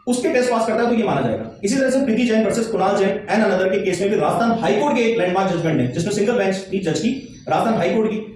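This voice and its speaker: A man's voice: sits high, a little mellow. A man giving an explanatory legal lesson, emphatic and repeating his key points. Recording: very echoey, distant mic, faint noise.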